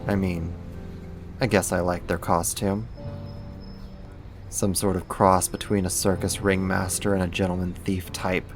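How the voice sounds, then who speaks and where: A man's voice: low-pitched, flat delivery, measured pace, long pauses, music in the background. A man acting a casual, lightly teasing roommate who is secretly a supervillain, in a conversational ASMR roleplay. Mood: sheepish, casual. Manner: casual, laid-back, lightly teasing, slangy.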